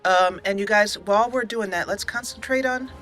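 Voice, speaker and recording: A female let's play host talks casually through her game. Her voice is bright and medium in pitch, chatty and thinking aloud, with quiet music underneath. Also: moderate pace. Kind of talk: casual gameplay commentary.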